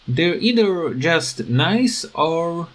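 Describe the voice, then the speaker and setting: Slow, halting man rambling about a game. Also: a little high, lively intonation. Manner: halting, searching for words. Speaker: gaming video creator. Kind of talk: unscripted rambling game commentary.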